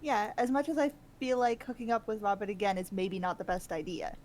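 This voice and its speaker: A woman's voice: medium pitch, steady tone, a little fast, a little mellow. A woman chatting casually as a guest co-streamer during a game. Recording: faint noise on a live stream.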